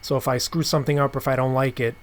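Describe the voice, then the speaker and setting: A man's voice: mid-range voice, flat delivery, very fast. A man hosting a casual interview, talking in an informal, thoughtful and explanatory way. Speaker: podcast host and comic writer.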